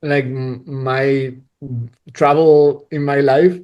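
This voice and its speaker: A man's voice: mid-range voice, level tone, extremely slow, clean tone, highs cut off. A man from Spain, a cinematographer, talking casually in a podcast interview, rambling with many restarts and frequent laughter. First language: Spanish.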